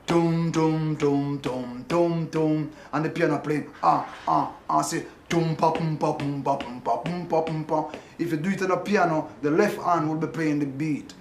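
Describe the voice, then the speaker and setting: A man in an interview, explaining music by vocalising bass and piano parts. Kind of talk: reminiscing interview. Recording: echoey room with faint noise.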